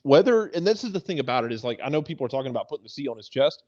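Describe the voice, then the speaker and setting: Male sports analyst, medium pitch, conversational and emphatic, dry sound with a little lo-fi dullness. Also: big pitch swings, rapid-fire, darkish tone, dry recording. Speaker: soccer pundit on a talk show. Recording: slightly dull, lo-fi audio.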